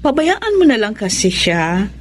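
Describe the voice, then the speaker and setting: A woman's voice: mid-range voice, sing-song, unhurried, a little hiss or hum. An actress playing a playful young woman with a light, teasing manner in a Tagalog radio drama. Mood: concerned, teasing.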